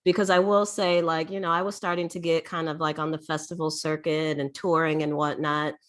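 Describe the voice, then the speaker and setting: A young woman interviewing a guest on a video call, chatty and animated, with many filler words and laughs. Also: low-pitched, fairly quick. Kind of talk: casual interview chat.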